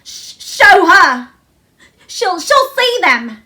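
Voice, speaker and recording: A frightened, sickly young boy from a storybook, played by a woman. The voice is shrill and bright, breaking into gasps and choked sobs. Everything comes out hysterical. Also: very animated, drawn-out words, heavily acted. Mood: gasping. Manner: gasping, sobbing, choked.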